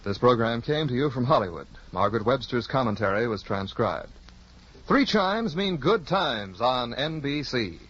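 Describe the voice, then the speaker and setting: A man's voice: medium pitch, sing-song, normal speed, a bit bright, husky. An American man announcing in formal, scripted 1950s network radio style, enunciating with care. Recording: dull old-time radio recording.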